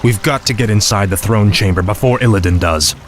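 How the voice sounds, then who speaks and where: A man's voice: fairly low pitch, a little fast, slight background noise, natural voice. An actor playing a fallen undead death-knight king, giving stern, resolute commands. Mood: determined. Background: orchestral music.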